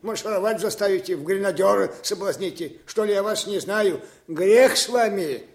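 A man's voice: high-pitched, moderate pace, a little mellow. An actor playing a plain-spoken, devoted male orderly who chides his old master in a folksy, familiar way, in a radio drama. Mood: grumbling, chiding. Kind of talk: Soviet radio drama acting.

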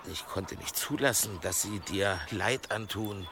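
A protective, worried monk in a medieval radio drama. His voice is fairly low, subdued and earnest, over faint ambient noise. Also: unhurried, bright, forceful tone. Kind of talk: German radio drama acting.

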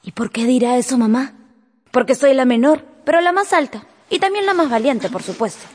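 A high, girlish voice plays a pert, bold young daughter. She is emphatic, in radio-drama style, with long reverb and music behind. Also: lively intonation, fairly quick, a little mellow. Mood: boastful.

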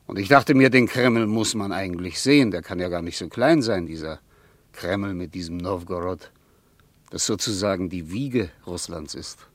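Rambling, low-voiced male travelling companion. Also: moderate pace, no room sound. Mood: wistful, amused. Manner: rambling, hesitant, self-interrupting. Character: rambling, night-owl travelling companion, eager amateur historian. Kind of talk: radio drama dialogue.

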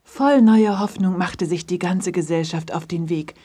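A woman's voice: lowish voice, measured pace, mellow. A woman narrating a children's fairy tale in a scripted storytelling style.

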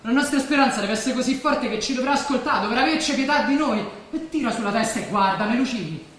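Bright-voiced Roman boyfriend, very echoey. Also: male voice, very high-pitched, lively intonation, quick pace, distant mic. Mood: desperate hope. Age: young adult. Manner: colloquial, earnest. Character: working-class young Roman boyfriend, devoted, boastful, protective. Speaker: actor. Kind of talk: naturalistic stage drama acting. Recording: very echoey hall from afar, faint noise.

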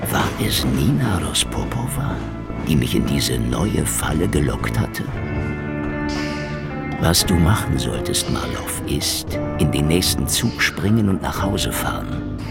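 An actor playing a cynical, world-weary Soviet secret-police detective in a hardboiled radio drama, sardonic and slangy. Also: male voice, a bass voice, gravelly, full character voice. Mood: brooding, wry. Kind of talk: noir radio drama acting and narration.

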